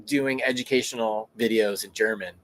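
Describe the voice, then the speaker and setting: Rambling American podcast host. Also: male voice, fairly low pitch, fairly even intonation, moderate pace, a bit bright, poor audio. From United States. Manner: rambling, loose, many fillers and restarts. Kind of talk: casual chat.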